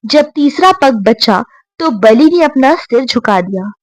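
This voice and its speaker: A woman with a fairly high voice narrates devotional stories from a script. Her delivery is very slow, measured and reverent. The sound is dry and close, with hardly any room to it. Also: expressive, dry recording. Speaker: storyteller.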